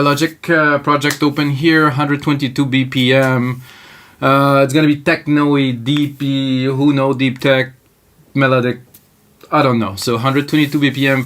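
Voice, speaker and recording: A man's voice: medium pitch, fairly bright tone, a little hiss or hum. French Canadian man rambling through a tutorial. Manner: loose, rambling, thinks aloud with fillers. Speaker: music production tutor. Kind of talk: live music production tutorial. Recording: electronic music playing under the voice. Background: faint electronic music.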